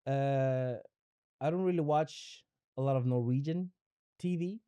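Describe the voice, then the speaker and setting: A young man from Ecuador, Spanish his first language, thinks aloud as a podcast guest. His voice is warm and mellow, his sentences often restart and circle back, and the sound is close and dry. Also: medium pitch, measured pace, clear, resonant tone, dry recording. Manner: searching, with frequent restarts and repeated words. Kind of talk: reflective conversational interview.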